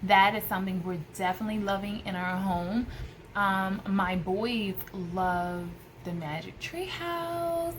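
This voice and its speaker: A woman in her thirties talks casually to the camera as a homeschool vlogger, with a medium-pitched voice. She gives advice in an emphatic way, repeating words for stress. It is recorded at home on a camera microphone, with noticeable noise in the background. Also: measured pace. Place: small room at home by a window. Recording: clearly noisy room recording.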